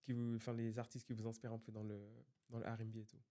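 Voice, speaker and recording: A man's voice: lowish voice, monotone, rapid-fire, dark tone. A man hosting a casual podcast who hesitates often with fillers while introducing his guests. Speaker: podcast host.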